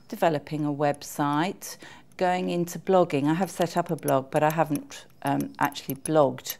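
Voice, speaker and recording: A middle-aged woman with a very low voice and a strong creak in it. She speaks as an author giving informal feedback on a course she attended. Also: a little mellow. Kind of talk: informal testimonial to camera.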